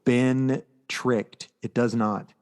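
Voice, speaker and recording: A man's voice: medium pitch, level tone, extremely slow, a little mellow, smooth. A man co-hosting a podcast, recapping things in a casual, explanatory and informal way. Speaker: podcast co-host. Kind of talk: casual chat, recapping comic books.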